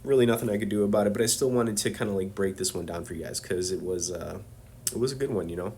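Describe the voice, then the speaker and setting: A man's voice: on the low side, quick pace, darkish tone, slight background noise. A man with a cold, talking loosely and conversationally as a poker video host reviewing his hands, with lots of fillers and chummy asides to viewers. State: has a cold. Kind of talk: casual hand-review commentary.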